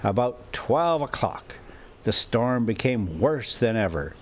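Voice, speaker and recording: Male storyteller, mid-range pitch, unhurried pace, deliberate halting phrasing, informal read-aloud style. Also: big pitch swings. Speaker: storyteller reading a classic novel aloud. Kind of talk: audiobook-style reading with casual asides.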